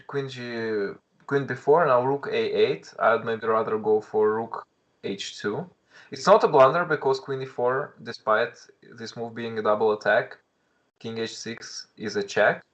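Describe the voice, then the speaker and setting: A man's voice: medium pitch, a little slow, a bit bright. A young man with a Russian accent, commentating a live chess stream in English, thinking aloud with frequent restarts and greeting viewers in chat.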